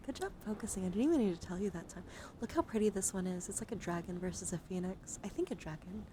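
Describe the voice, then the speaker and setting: Against clearly audible background noise, a woman hosts an ASMR livestream in a mid-range voice. She moves between whispering and soft casual chat. Her manner is playful as she addresses her viewers and shows off items. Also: mid-range voice, fast talker. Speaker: livestream host.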